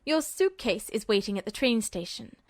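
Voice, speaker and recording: A woman playing a calm, polite, calculating male army officer with quiet menace in a story reading. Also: on the high side, normal speed, no echo, studio quality, lightly acted. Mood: cool menace.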